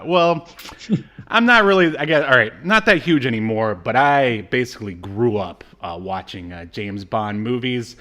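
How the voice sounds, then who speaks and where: A man's voice: medium pitch, a bit bright. A man hosting a podcast, chatting casually and playfully about pop culture, with some chuckling in his delivery. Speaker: podcast host. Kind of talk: casual banter about old cartoons.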